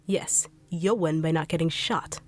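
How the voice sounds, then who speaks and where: A woman voicing a greedy bodyguard turned villain who taunts with cold, quiet menace. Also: low-pitched, fairly even intonation, measured pace. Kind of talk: audio roleplay acting.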